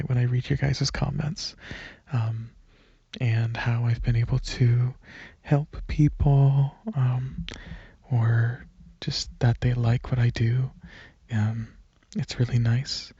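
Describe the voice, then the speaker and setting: A man speaking softly and breathily in a relaxed, hesitant ASMR ramble, partly whispering, into a microphone held close. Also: mid-range voice, vocal fry, slightly rough, dry recording, dull audio. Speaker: ASMR video creator. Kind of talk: casual rambling ASMR, softly spoken to listeners. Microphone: microphone held close at chest, leaning back.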